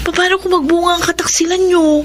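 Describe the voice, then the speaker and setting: An actress playing a nosy, worried confidante in a Filipino radio drama, prying and exclamatory. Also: female voice, extremely high pitch, little pitch movement, a little fast, fairly bright tone, slight background noise. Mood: shocked, prying. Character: shocked, nosy female confidante, worried and gossipy.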